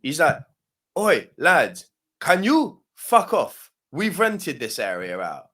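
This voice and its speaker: A man's voice: fairly high pitch, wildly swooping pitch, slow pace, sharp tone. A man co-hosting a podcast, telling a long story casually, swearing freely, laughing often and asking "yeah?" as he goes. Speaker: podcast co-host.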